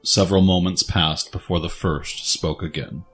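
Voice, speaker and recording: A man's voice: deep voice, steady tone, moderate pace, soft background music. A man narrating a scripted dramatic story as the narrator of an audio drama.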